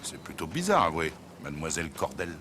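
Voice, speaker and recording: A man's voice: rumbling low, wildly swooping pitch, fairly quick, bright, forceful tone, a little hiss or hum, heavily acted. A man dubbing a skeptical, brash city police inspector in a French film, speaking sarcastically. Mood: brash, sarcastic.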